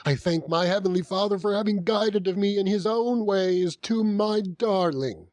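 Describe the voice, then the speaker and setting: A man's voice: high-pitched, measured pace, a little mellow, dry recording, pitched above the performer's own voice. A man voicing a devout, humble working man in an audiobook, earnest and fervent, with rustic turns of phrase. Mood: overjoyed, fervent.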